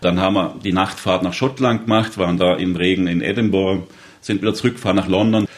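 A man's voice: low-pitched, fairly even intonation, fast talker. A man in his fifties from southern Baden in Germany, telling stories conversationally as an interviewee. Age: 50s. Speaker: interviewee recalling rail travels. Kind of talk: conversational storytelling.

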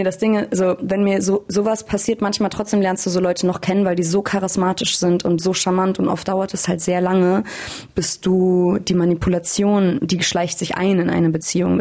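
A woman's voice: on the low side, a little mellow. A young woman from Hamburg, a singer and guest, talking candidly in a casual interview and laughing at herself. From Hamburg, Germany.

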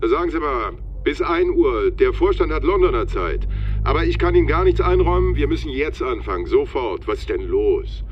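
An actor playing a brusque, demanding corporate boss in a radio drama, clipped and pushy, heard over the phone. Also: male voice, a little high, very animated, normal speed. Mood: demanding, impatient. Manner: clipped, commanding, jovially pushy. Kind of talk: naturalistic German radio drama dialogue. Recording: band-limited phone line over vehicle noise.

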